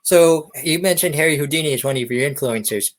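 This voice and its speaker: A man's voice: on the high side, a little fast. A man hosting a podcast interview in a casual, chatty style, repeating short affirming phrases.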